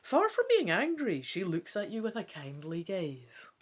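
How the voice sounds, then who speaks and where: Slow, expressive woman storyteller. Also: mid-range voice, breathy edge. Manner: expressive, theatrical. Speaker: narrator and gamebook player. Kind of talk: dramatic storybook narration with casual asides.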